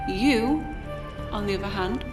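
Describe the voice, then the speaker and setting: A woman's voice: mid-range voice, lightly acted. Woman acting a wry over music. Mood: brisk, wry. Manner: measured, formal, wry. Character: enigmatic, brisk, polite woman time-traveller, protective and authoritative. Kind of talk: audio drama acting.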